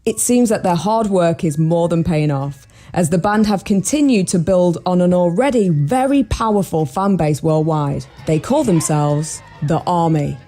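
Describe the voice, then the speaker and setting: Mellow-voiced woman radio host. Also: on the low side, normal speed. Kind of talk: scripted radio feature narration and interview questions. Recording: music bed underneath.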